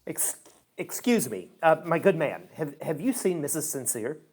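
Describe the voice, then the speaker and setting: A man's voice: fairly high pitch, big pitch swings, moderate pace, darkish tone. A man playing a smug, meddling Broadway theater critic in a radio play, pompous and insinuating. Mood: self-important.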